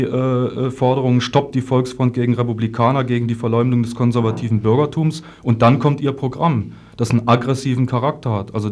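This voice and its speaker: A man argues a political case as a discussion guest. His pitch is average, and his phrasing is formal and long-winded, with hesitant stops as he builds each argument. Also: darkish tone. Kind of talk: political debate, argumentative talk.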